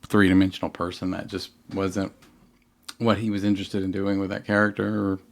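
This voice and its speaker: Low-voiced man talking haltingly. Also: flat delivery. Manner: halting, repeats himself. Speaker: podcast co-host. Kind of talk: casual TV discussion.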